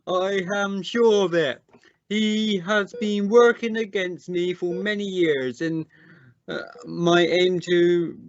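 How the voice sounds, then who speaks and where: A man playing a defensive, accusing scientist in an amateur radio drama, speaking in a halting, formal and deliberate way. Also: sits high, a bit bright, dull audio, heavily acted. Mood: indignant, accusing. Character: defensive, accusing male scientist on a space mission. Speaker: amateur radio play actor.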